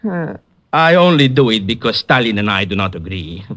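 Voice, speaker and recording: A man's voice: fairly low pitch, sing-song, moderate pace, a bit bright. A man playing a comic, broke Russian artist and informant with a Russian accent in an old radio comedy-mystery. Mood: wheedling. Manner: theatrical, exclamatory, scornful. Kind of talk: 1950s comedic radio acting.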